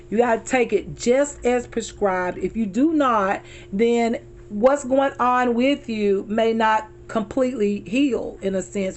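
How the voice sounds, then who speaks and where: A hoarse middle-aged woman chatting casually to her viewers from her car, calling them y'all and repeating herself as she talks. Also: fairly high pitch, a little hiss or hum. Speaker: vlogger.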